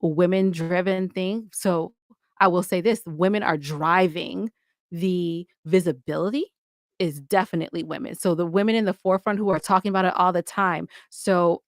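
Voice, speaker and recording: Slightly low-voiced American woman, dry sound. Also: no room sound. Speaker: podcast guest, audio drama producer. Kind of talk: casual interview chat.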